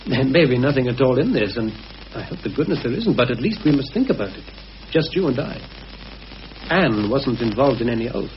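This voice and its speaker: British radio-play conspirator, played by a male actor: grave, careful, hesitant, medium-pitched, on a hissy old recording. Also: sing-song, brisk, highs cut off, close to an everyday voice. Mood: grave, suspicious. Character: anxious, cautious male conspirator confiding a grave suspicion.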